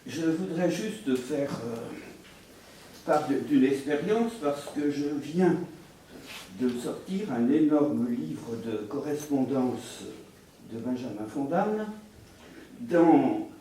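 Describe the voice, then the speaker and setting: A man speaking deliberately and haltingly as a panelist at a round table on literary translation. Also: mid-range voice, animated, warm tone. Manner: deliberate, halting, pausing mid-sentence. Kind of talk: spontaneous round-table talk. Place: reverberant room at a round-table event. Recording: echoey room, distant mic, faint noise.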